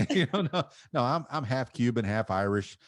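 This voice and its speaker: A man's voice: medium pitch, animated, normal speed, no room sound, clean studio sound. A man in his fifties from Miami, a podcast guest who talks in a thoughtful, wry way with self-mocking jokes. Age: 50s. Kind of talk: casual interview chat.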